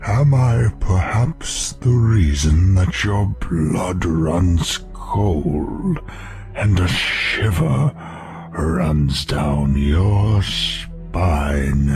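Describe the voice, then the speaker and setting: Ancient evil shadow creature, low voice, crazy slow, brooding n taunting. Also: male voice, heavily acted. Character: sinister, taunting ancient male shadow monster.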